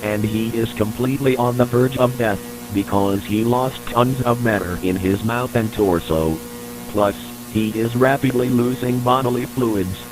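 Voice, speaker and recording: A man reading a scripted, formal, matter-of-fact report as the narrator of an analog horror tape. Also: fairly low pitch. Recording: noisy recording with electrical hum.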